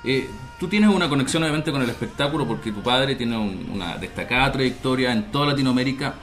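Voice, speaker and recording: A man's voice: mid-range voice, lively intonation, quick pace, fairly bright tone, faint music behind. Man hosting a radio interview. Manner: conversational, many hesitations.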